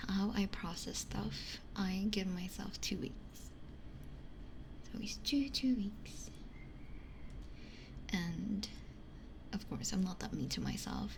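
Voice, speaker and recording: Whispering woman in an ASMR chat. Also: mid-range voice. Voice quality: breathy, unvoiced whisper. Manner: reflective, conversational, repeats phrases for emphasis. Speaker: streamer. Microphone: close binaural ear microphone.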